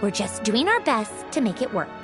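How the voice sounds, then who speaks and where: Proud female official, video game: high, haughty, highly expressive, music clearly behind. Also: normal speed. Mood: defensive, firm.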